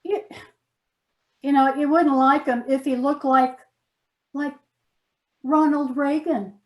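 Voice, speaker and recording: An actress playing a frustrated, nagging wife in a comic stage sketch, reproachful and emphatic. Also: female voice, high voice, steady tone, takes it slowly. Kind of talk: comic readers theater sketch.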